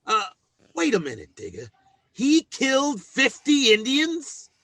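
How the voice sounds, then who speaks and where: A high-pitched, forceful working stiff from old-time radio comedy. He is a bumbling, easily flustered husband who stammers through folksy, ungrammatical talk with big swings of pitch. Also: huge pitch swings, a little slow, gravelly, no echo, heavily acted. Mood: puzzled.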